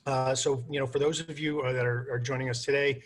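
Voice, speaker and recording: A man of middle pitch hosting a panel discussion over a video call. He welcomes his guests in a friendly way and leans on small hesitations as he goes. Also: level tone, a little fast. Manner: friendly, with many "uh" fillers. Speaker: moderator.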